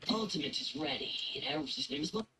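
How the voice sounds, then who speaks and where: Game system announcer, clipped scripted alerts, gravelly edge, swooping pitch. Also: sing-song, fast talker, bright, forceful tone, a little hiss or hum, full character voice. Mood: announcing alerts.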